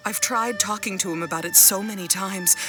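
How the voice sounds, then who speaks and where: A woman playing a worried, gentle but firm middle-aged wife and mother in a video game, speaking in an earnest, measured way. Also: mid-range voice, music in the background, lightly acted. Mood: troubled, firm.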